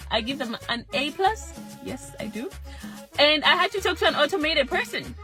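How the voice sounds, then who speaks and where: Zambian woman, high bright voice, joking storyteller vlog, soft music under it. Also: moderate pace. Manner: animated, humorous, acts out her own voice. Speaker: vlogger. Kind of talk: casual storytelling to camera.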